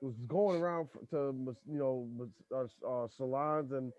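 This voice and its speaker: A man's voice: mid-range voice, moderate pace, darkish tone, dry recording. A man hosts a podcast in easygoing, profane chat, often stopping and restarting his sentences. The mic sounds dry and a bit dull. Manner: loose, profane, talks in restarts. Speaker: podcast host.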